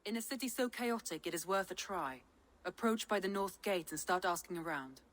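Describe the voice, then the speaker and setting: Low-voiced, composed Norse advisor. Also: female voice, animated, normal speed, a bit bright. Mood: measured, advising. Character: composed, shrewd Norse woman advisor, practical and authoritative. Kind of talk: video game cutscene dialogue.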